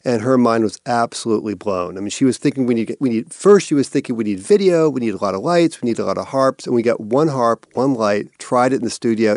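A man with a fairly low voice speaks in a thoughtful, searching way, restarting phrases as he explains his work. He answers interview questions, and the recording is close and dry with no room sound. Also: darkish tone, no echo. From Massachusetts. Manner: thoughtful, searching with repeated words and fillers. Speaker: artistic director of an arts institute.

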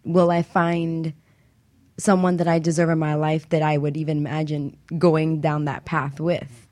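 A woman with a low voice in a close, dry recording. She talks in a candid, casual, slightly hesitant way, as a co-host sharing something personal. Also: flat delivery, moderate pace, a little mellow, dry recording.

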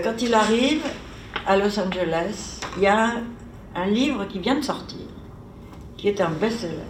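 A woman with a fairly low voice gives a seminar talk in a loose, conversational way, wandering from point to point as she thinks aloud. She sounds distant from the microphone, and the room echoes around her with a faint hiss underneath. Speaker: seminar speaker. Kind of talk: informal academic lecture. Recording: echoey room, mic far off.

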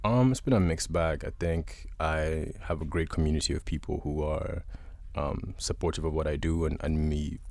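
A young adult man from Uganda, a guest musician answering interview questions in a relaxed, thoughtful way with many pauses. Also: a bass voice, dry recording.

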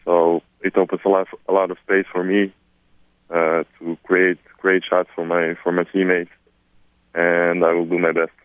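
A young man from Serbia answers questions in English on a conference call, stopping and restarting his phrases. His voice sits a little low and comes through a thin, dry phone line. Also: completely flat delivery, no echo. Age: 20s. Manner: halting, many repeated words. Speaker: drafted basketball player.

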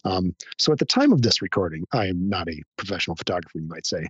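A hoarse man who is a guest on a podcast, chatting modestly with frequent restarts. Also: low-pitched, very animated, quick pace, a bit bright, no echo, dull audio. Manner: modest, hesitant, many restarts. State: hoarse, recovering from illness. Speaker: podcast guest, hobby photographer. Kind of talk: casual interview chat.

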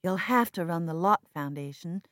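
A woman's voice: on the low side, lively intonation, moderate pace, a bit bright, dry recording, studio quality. A woman narrator playing an earnest, strong-willed and loyal woman in mourning, emphatic and reproachful, in an audiobook drama. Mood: insistent.

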